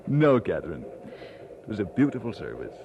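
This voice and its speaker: A man's voice: on the low side, wildly swooping pitch, normal speed, dull audio. A man playing a good-humored young Scottish minister in a radio drama, polite and affectionate with an absent-minded streak. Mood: tender, teasing. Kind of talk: old-time radio drama acting. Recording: noisy old radio drama recording.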